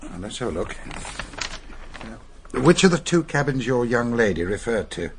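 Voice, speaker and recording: An actor playing a skeptical man who questions the detective, with a husky voice. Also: mid-range voice, big pitch swings, moderate pace. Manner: doubting, probing.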